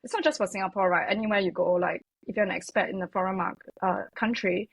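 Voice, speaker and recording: A woman talks casually as a podcast guest, her voice slightly husky. She chats loosely, sprinkling in "like" and "you know". The sound is dry and close. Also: medium pitch, steady tone, quick pace, no room sound. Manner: chatty, many fillers like "like" and "you know". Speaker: podcast guest, communications consultant. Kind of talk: casual interview chat.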